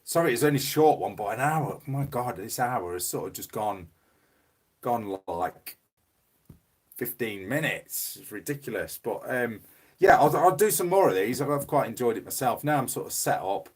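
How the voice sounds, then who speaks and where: A man hosting a casual livestream from his phone, hoarse from losing his voice, rambling and repeating words as he reads comments. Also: medium pitch, lively intonation, normal speed. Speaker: livestream host. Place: small home room. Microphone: mobile phone.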